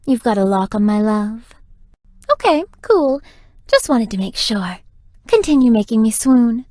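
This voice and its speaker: A woman's voice: a little high, expressive, a little slow, a little mellow, no room sound, clean studio sound. A woman voicing a flirtatious, playful, theatrical vampire in a video game, teasing and melodramatic. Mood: flirty, then flirty, playful. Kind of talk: scripted video game character dialogue.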